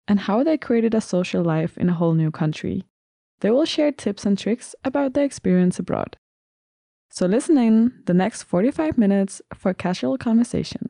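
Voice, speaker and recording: Young woman hosting, slightly creaky voice. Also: mid-range voice, mellow, no room sound, clean studio sound. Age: young adult. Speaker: podcast host and moderator. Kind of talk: casual podcast hosting.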